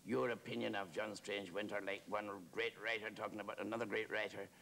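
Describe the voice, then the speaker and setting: Man playing rambling, naive prison warder, gravelly deep voice. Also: level tone, fairly quick, fairly bright tone, a little hiss or hum. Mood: eager, chatty. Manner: rambling, hesitant, repetitive, with deferential address. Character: naive, talkative, deferential working-class prison warder, eager reader. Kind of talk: theatrical one-man stage monologue.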